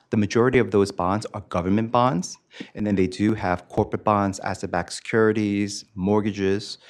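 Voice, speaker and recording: A man presenting investment figures at a committee meeting, explaining them informally and in plain terms. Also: fairly low pitch.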